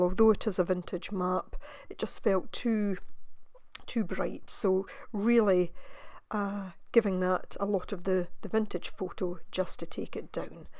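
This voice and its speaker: A woman talks through her crafting in a soft, musing voice-over, her voice a touch croaky. She sits at a mid pitch and pauses to think aloud. The recording is close and dry, with no room around her. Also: dry recording. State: slightly croaky voice. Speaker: craft video maker.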